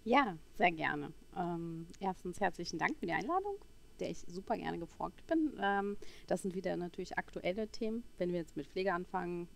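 A woman in her forties from Berlin telling her personal story as a podcast guest, halting as she searches for words. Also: on the low side, animated, vocal fry. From Berlin, Germany. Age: 40s.

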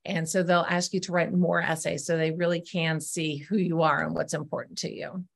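A woman's voice: fairly low pitch, flat delivery, moderate pace, vocal fry. A middle-aged woman, a guest on a podcast, explaining her work as an essay coach in an earnest, step-by-step way. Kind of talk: explanatory interview answers.

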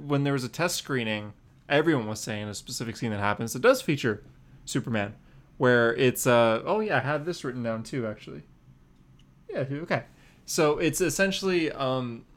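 A man's voice: medium pitch, fairly bright tone. Apologetic man chatting casually as podcast co-host. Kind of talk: casual comic-book news chat.

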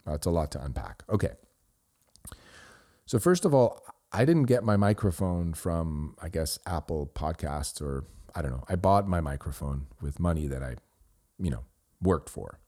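A man's voice: extremely low pitch, animated, normal speed, dark tone. A middle-aged man from Switzerland, a native Swiss German speaker, hosting a podcast and earnestly answering a listener's review, rambling with restarts. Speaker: podcast host.

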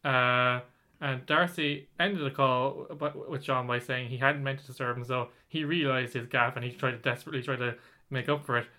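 A man's voice: medium pitch, fairly even intonation, moderate pace, pushed and bright. A man co-hosting a podcast, reading out a news story in casual chat, rambling with many restarts. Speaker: podcast co-host.

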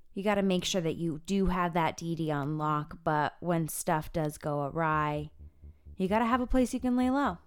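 A woman presents a review show in a somewhat low-pitched voice. She moves between theatrical reading and chatty commentary. Music plays softly behind her. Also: clear, resonant tone. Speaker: podcast host.

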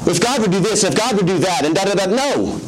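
A man's voice: sits high, lively intonation, fast, over a noisy crowd, poor audio. Soothing-voiced man preaching a sermon. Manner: conversational preaching with self-deprecating jokes. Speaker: pastor. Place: church service.

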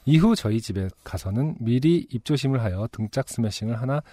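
A man's voice: mid-range voice, lively intonation, brisk, dark tone, no echo. A man co-hosting a casual talk podcast, reading a listener's letter aloud with laughing asides.

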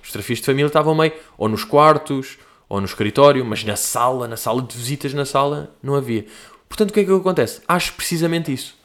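A man's voice: medium pitch, quick pace, a bit bright. A young man from Portugal talks in a loose, rambling way, full of slang and asides. His European Portuguese accent is plain, and he pokes fun at himself as he answers listener questions like a comedian hosting his own show. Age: young adult. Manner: rambling, slangy, self-mocking. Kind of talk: casual monologue.

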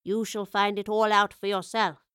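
A woman reading as a serene old professor at the end of a life of adventure. She speaks slowly and gently, with a soft, knowing lilt. The sound is close and dry, with no room around it. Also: mid-range voice, flat delivery, clear, resonant tone, no room sound, close to an everyday voice. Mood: wistful. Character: elderly woman professor, calm and wistful.

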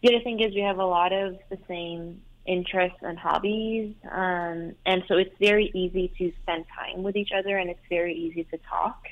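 A woman's voice: on the low side, steady tone, normal speed, hardly any pauses. A young adult woman whose first language is Farsi, calling in to an advice show and talking hesitantly with many fillers and restarts. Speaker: caller. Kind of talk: call-in advice talk. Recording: tinny phone line.

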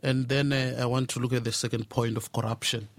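A man's voice: mid-range voice, level tone, fairly quick, no room sound. A man with a South African accent answering spontaneously as a radio interview guest, explaining his views in a thoughtful, hesitant way.

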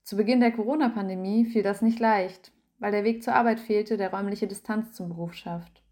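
A woman reads a written feature aloud as scripted narration. Her voice sits at a middle pitch, and her delivery stays even and measured throughout. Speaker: narrator reading an article aloud.

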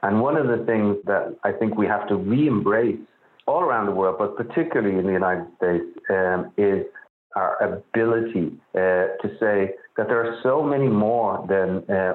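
An Irish man, an author, answering interview questions earnestly and often repeating words as he searches for phrasing. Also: fairly low pitch. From Ireland. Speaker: author guest. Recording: echoey tinny phone-like line.

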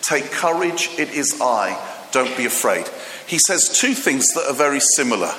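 A Greek-born man preaching in a church hall, his bright, forceful voice carrying a long reverb. He tells his stories with lively animation, restarting sentences and dropping in fillers. A faint room ambience sits behind him. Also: mid-range voice, normal speed, a little hiss or hum. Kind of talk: sermon.

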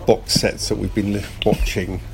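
A man's voice: fairly low pitch, moderate pace, over music. A middle-aged man answering casually in an interview, hesitant and thinking aloud as he goes. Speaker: podcast producer, interview guest. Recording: clear steady ambient background noise.

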